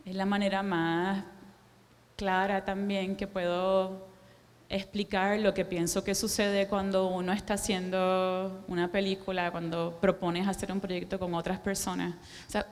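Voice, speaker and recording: A woman in her forties with a Puerto Rican accent, giving a reflective public talk as a guest artist, with pauses and fillers. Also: fairly low pitch. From Puerto Rico. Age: 40s. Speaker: guest artist speaking to an audience. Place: cultural center lecture room. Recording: echoey hall.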